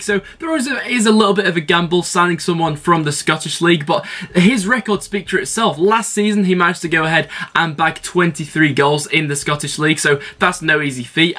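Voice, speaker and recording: A young man with a cold and a slightly croaky voice, hosting a football channel and chatting casually to camera, with lots of fillers. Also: sits high, fairly bright tone. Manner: chatty, fan opinions with many fillers. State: has a cold, a bit croaky.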